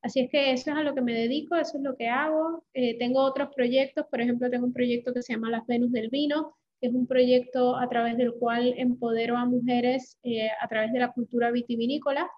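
Woman, 40s, light Puerto Rican accent; high-pitched, earnest interview guest on dull lo-fi audio. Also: steady tone, a little fast, few pauses. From Puerto Rico. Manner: earnest, fluent, with many fillers. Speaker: guest, creativity coach. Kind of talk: casual podcast interview.

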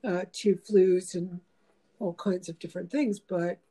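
A woman's voice: on the low side, steady tone, a little slow, mellow, slightly rough. A woman hosting a casual chat, talking in a meandering, reflective way with many fillers. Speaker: host.

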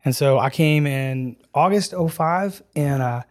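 A man in his forties from Wisconsin, a guest telling stories in a relaxed podcast chat, animated and laughing easily, with many filler phrases. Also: on the high side, measured pace. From Wisconsin, US Midwest. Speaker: guest, ministry program leader. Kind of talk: casual storytelling in a podcast chat.

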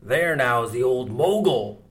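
A man's voice: mid-range voice, expressive, pitched below the performer's own voice. Stubb, a man doing a jovial chattering sailor. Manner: brisk, loud, chattering, mock-pompous. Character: jovial, talkative male second mate. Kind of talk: audiobook reading.

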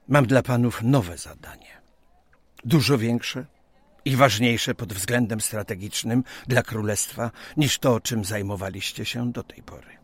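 An actor playing a 19th-century Polish official, speaking in formal, old-fashioned phrases with deliberate pauses, earnest and dignified in an audio drama. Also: male voice, mid-range voice, slightly rough. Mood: solemn, businesslike. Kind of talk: audio drama acting and diary narration.

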